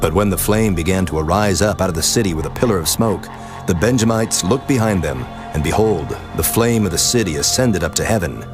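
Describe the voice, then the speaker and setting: A man with a deep voice narrates scripture. His delivery is solemn and measured, read from a script over a clearly audible music bed. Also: lively intonation.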